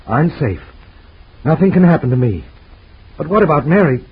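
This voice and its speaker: Desperate guilty husband, 1940s radio drama, husky voice, swinging pitch, muffled old audio. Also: male voice, very animated, normal speed, full character voice. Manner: emotional, pleading. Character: anguished guilt-ridden husband. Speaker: lead actor. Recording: dull lo-fi old radio recording.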